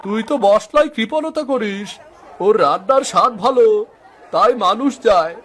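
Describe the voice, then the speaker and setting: A man's voice: sits high, animated, normal speed, a bit bright, a faint crowd. A man voicing a teasing village gossip in a cartoon, mocking. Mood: mocking amusement. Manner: mocking, needling. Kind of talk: cartoon story acting. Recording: background music under voice.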